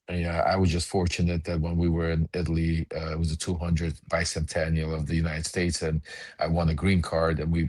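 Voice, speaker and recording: A man's voice: deep voice, darkish tone, no echo. A middle-aged man from the former Soviet Union, speaking as a panel guest over a video call in a rambling way, often restarting and correcting himself. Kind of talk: casual discussion.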